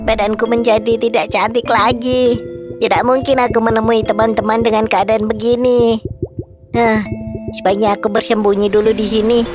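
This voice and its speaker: A woman's voice: on the high side, level tone, normal speed, lightly acted. A woman voicing a young female jellyfish in a children's cartoon, vain and easily panicked, with whiny exclamations. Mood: worried, sulky. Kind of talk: animated children's story voice acting. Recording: music behind the voice. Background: loud background music.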